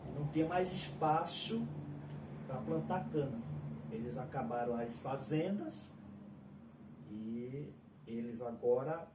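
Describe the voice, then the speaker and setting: A man's voice: mid-range voice, recorded from a distance. A man with a Northeastern Brazilian accent from Alagoas, an evangelist guest giving plainspoken, respectful answers about his life. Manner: plainspoken, respectful, keeps addressing the pastor. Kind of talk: testimony interview answers. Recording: echoey room from afar with faint noise.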